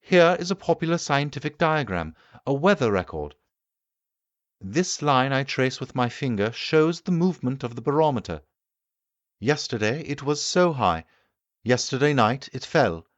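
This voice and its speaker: A man voicing an eager, assured Victorian gentleman inventor lecturing his guests in an emphatic, declamatory way, as in an audiobook. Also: mid-range voice, dry recording, highs cut off, voice pushed up, close to an everyday voice. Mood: confident lecturing. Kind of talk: audiobook character acting.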